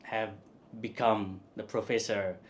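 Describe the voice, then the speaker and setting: A Nepali-born man in his thirties with a lowish voice speaks as an interview guest, with Nepali as his first language. He talks in a halting way, full of fillers and tag questions. A faint hiss sits behind him. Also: flat delivery. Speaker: guest, statistics professor. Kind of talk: casual interview.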